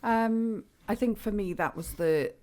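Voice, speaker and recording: A middle-aged Welsh woman chatting casually as a guest, relaxed and quick to laugh. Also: medium pitch. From Wales. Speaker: guest bowls player. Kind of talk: casual interview chat.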